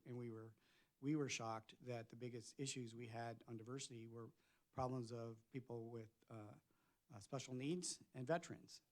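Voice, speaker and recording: A man's voice: medium pitch, a little mellow. A man candidate answering a forum question in a conversational way, often repeating words as he goes. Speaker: board candidate.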